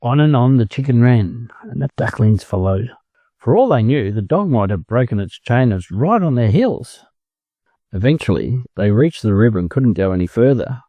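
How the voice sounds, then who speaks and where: A man with an Australian accent reading a children's story aloud in an expressive narrating style. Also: mid-range voice, normal speed, dry recording, highs cut off. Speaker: storybook reader.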